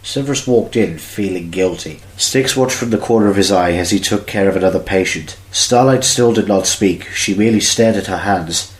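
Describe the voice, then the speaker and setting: Low-voiced man narrating, roomy sound. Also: normal speed, few pauses. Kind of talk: scripted story narration of fan audio drama. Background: music.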